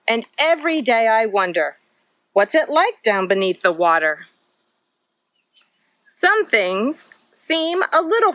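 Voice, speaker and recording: Lady reading a wondering little storybook seagull, slow and super up and down, full of questions. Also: fairly high pitch, bright, forceful tone, no echo, exaggerated voice. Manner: wide-eyed, questioning. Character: curious, wondering young seagull from a picture book. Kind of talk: picture book read-aloud.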